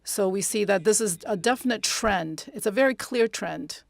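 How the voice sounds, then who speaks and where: A woman interpreting live at a conference, speaking in measured, segmented phrases with pauses. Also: mid-range voice, vocal fry. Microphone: close interpreter's microphone.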